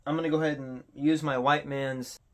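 A man's voice: mid-range voice, little pitch movement, a little fast. Casual man hosting a countdown video. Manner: informal, self-deprecating. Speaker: host of a horror video countdown.

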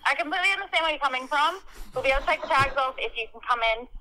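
A woman's voice: high-pitched, racing, a crowd in the background. A woman doing customer service over a tinny phone line, firm but polite and apologetic. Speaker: shop customer service worker.

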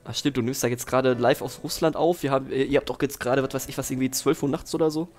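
A man's voice: medium pitch, steady tone, fast talker, darkish tone, soft background music. A young man chatting casually during a Let's Play, full of ironic, teasing banter. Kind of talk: casual joking gameplay chat.

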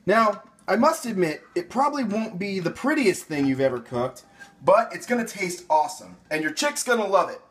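Animated young American man, playful cook. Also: high-pitched, normal speed, breathy edge. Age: young adult. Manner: playful, slangy. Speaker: cooking show host. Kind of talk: casual recipe instruction. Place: home kitchen and grocery store, indoors.

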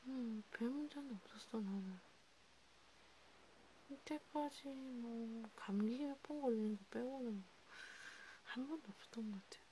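A woman playing a sympathetic vampire counselor in a whispered ASMR role play, breathy and intimate, talking casually and haltingly. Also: on the high side, warm tone, a little hiss or hum, lightly acted, rough recording. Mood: soft, reflective. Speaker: ASMR creator.

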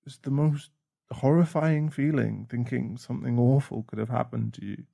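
A man playing a caring, protective boyfriend in an intimate ASMR roleplay, speaking softly and soothingly with halting pauses. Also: medium pitch, steady tone, vocal fry, dry recording, studio quality. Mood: shaken, anxious. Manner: soft, soothing, halting, sighing. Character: caring, protective, shaken boyfriend comforting a partner. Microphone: close mic.

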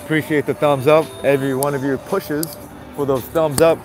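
A man's voice: on the high side, fairly even intonation, normal speed, faint music behind. A man with a stuffy nose chatting casually to viewers on a live-stream while walking a city sidewalk at night, filmed on a handheld phone. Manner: chatty, repeats greetings, talks to viewers. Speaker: vlogger. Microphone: handheld phone camera.

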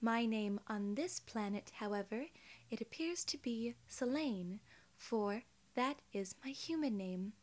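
A young woman playing a polite, curious alien gatekeeper in an ASMR roleplay, soft-spoken and partly whispering, with formal, quaint wording. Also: a little high, animated, measured pace. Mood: soft, welcoming. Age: young adult.

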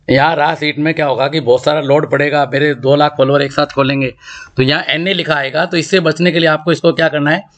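A middle-aged man, slightly hoarse from a throat infection, teaching a finance lesson at a blackboard and repeating the key numbers step by step. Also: a little high, roomy sound. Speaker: stock market educator. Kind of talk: blackboard lesson and screen walkthrough. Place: indoor room with a blackboard.

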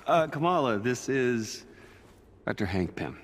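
An actor playing a weary, gentle middle-aged scientist hero in a video game, with a halting, low-key way of talking. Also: male voice, big pitch swings. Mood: surprised, friendly. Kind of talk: video game cutscene acting.

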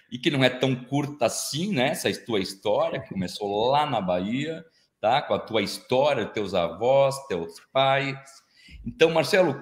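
Apresentador de podcast, cinquentão, tom coloquial, som de sala com eco na chamada. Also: male voice, medium pitch. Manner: informal, tags questions with "né" and "hein". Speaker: podcast host. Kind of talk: interview questions. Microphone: video call. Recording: echoey room on a video call.

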